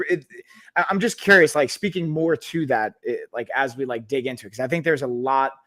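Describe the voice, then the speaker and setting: A man hosting a podcast, thinking aloud in a rambling, thoughtful way, full of fillers and restarts. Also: on the high side. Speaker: podcast host. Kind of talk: casual podcast conversation.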